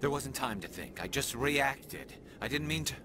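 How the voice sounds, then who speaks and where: A man's voice: mid-range voice, lively intonation, moderate pace, background noise, lightly acted. An actor voicing a driven male alien cop in a video game, earnest and emphatic. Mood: brisk, defensive. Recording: music behind the voice.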